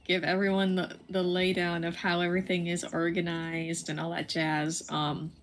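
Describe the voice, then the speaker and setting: A woman's voice: fairly low pitch, completely flat delivery, moderate pace. A woman co-hosting a game livestream, chatting casually as she plays. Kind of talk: casual chat while gaming.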